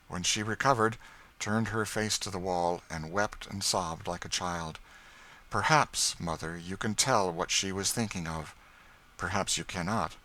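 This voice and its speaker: A man reading scripted literary narration as an audiobook narrator, in a measured storytelling manner. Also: low voice, sharp tone.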